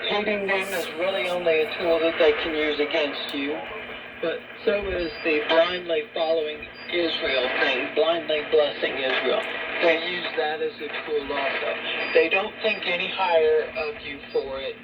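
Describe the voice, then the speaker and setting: A woman's voice: deep voice, fairly even intonation, normal speed. A woman giving religious talk radio commentary, heard through a shortwave radio. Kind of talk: talk radio commentary with scripture and article reading. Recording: dull, very noisy shortwave radio broadcast.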